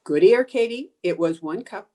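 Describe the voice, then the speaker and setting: Actress as a scatterbrained, lively radio sitcom housewife. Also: female voice, medium pitch, moderate pace, natural voice. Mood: flustered. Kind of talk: old-time radio comedy acting.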